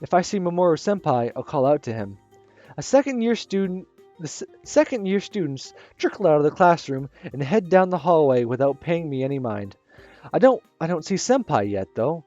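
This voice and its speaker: A man reading the inner thoughts of a shy, anxious one-eyed teenage girl in a visual novel, soft and hesitant. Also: fairly high pitch, big pitch swings, moderate pace. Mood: wistful. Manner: soft, hesitant narration with restarts. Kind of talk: first-person visual novel narration. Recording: slightly muffled with soft music.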